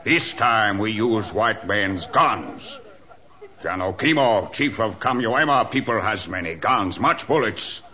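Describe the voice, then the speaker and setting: A proud, scheming chief in an old radio adventure. The voice is low, forceful and slow, declaiming in broken English through a dull, lo-fi recording. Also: male voice, lively intonation. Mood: fierce, commanding.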